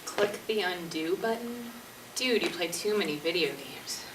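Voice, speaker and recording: A woman's voice: lowish voice, expressive, measured pace. A woman playing an exasperated, bossy young survivor of a zombie apocalypse in an amateur stage play. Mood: sarcastic. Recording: distant echoey stage recording with noise.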